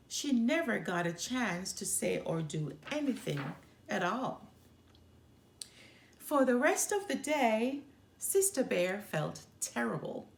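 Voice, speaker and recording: A woman reading a children's picture book aloud as a storytime host, with deliberate, expressive delivery. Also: medium pitch, creaky, echoey room.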